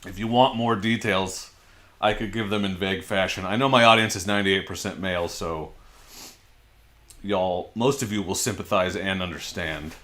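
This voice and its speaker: A man's voice: low voice, moderate pace, a bit bright. A man in his forties hosting a casual livestream from his home garage, chatting and answering viewer comments as he reads them out on a desk microphone. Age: 40s. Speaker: livestream host.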